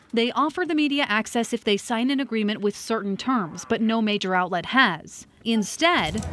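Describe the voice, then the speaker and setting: An American woman with a fairly high voice narrates a television news story. She reads from a script as a reporter, with some faint noise behind her.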